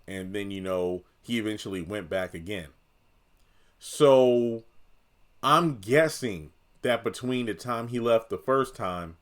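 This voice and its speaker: A man with a lowish voice, the kind heard on a fan's recap channel, chatting to viewers as he puzzles out last night's episode. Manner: conversational, thinks aloud, addresses viewers directly. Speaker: video commentator. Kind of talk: casual opinion commentary on a TV drama.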